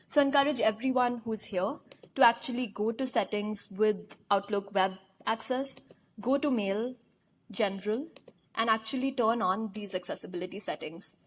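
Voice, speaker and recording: Upbeat woman presenter. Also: on the high side. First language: Hindi. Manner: brisk, upbeat, asks the audience questions. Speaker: conference presenter. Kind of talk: live product demo talk. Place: large conference hall.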